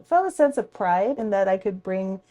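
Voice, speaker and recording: Female restaurant owner from Thailand, mellow voice, chatty storyteller. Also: mid-range voice, expressive, moderate pace, muffled recording. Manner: chatty, warm storytelling, light laughs. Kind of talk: casual interview.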